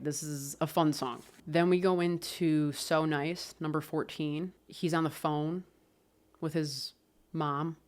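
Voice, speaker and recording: A woman casually talking through an album review, loose and expressive, swearing and laughing often. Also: deep voice. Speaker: music reviewer.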